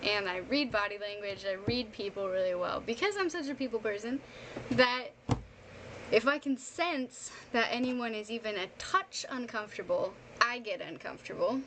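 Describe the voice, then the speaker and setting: A woman's voice: on the high side, normal speed, fairly bright tone, a little hiss or hum, low-quality recording. A young woman chatting casually on a livestream in an informal, self-deprecating, talkative way. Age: young adult. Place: bedroom streaming setup, indoors.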